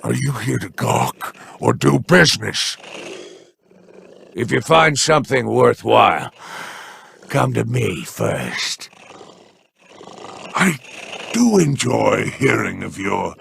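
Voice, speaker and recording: An actor playing a smug, scheming male alien crime boss in a video game, with a deep gravelly growl and a slow, mockingly polite manner. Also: very animated, fairly bright tone, slight background noise, exaggerated voice. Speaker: merchant boss.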